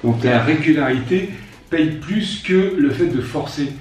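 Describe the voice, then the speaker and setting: A man in his fifties coaching a gentle strength workout, calmly reassuring and often pausing with hesitations. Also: on the high side. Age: 50s. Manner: gentle, reassuring, repeats advice not to strain, frequent hesitations. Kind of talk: exercise instruction video. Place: room at home with an exercise mat. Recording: very echoey room, voice far from mic.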